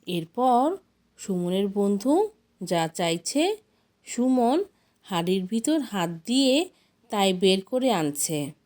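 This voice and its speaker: A woman's voice: mid-range voice, big pitch swings, takes it slowly, pauses often, darkish tone. A woman storyteller narrating a folk tale expressively, as if reading from a storybook.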